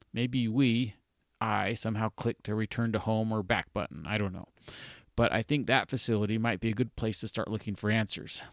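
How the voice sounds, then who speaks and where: A man's voice: on the low side, a bit bright, dry recording, studio quality. A man voicing a thoughtful young male explorer in a storybook, explaining things as they reason aloud.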